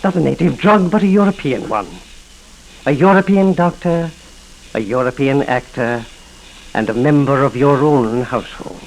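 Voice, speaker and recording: An actor playing an earnest, scholarly professor-detective who speaks in an articulate, theatrical way, in a 1940s radio drama. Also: male voice, medium pitch, normal speed, slight background noise, lightly acted, low-quality recording. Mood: probing, deductive. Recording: vintage 1940s radio broadcast.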